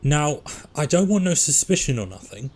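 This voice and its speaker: Husky, defiant teenage boy, played by a man. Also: fairly high pitch, very animated, moderate pace, darkish tone. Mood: defensive. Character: defiant, rattled teenage boy, a graffiti vandal. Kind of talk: audio drama acting.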